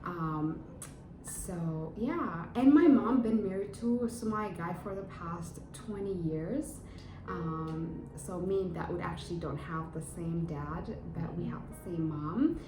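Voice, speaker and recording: A woman's voice: fairly low pitch, unhurried. Chatty Ethiopian-born woman, roomy echo. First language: Amharic. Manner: chatty, frequent filler ums, laughs easily. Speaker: vlogger host. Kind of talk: casual chat to camera. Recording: roomy, distant mic, music underneath.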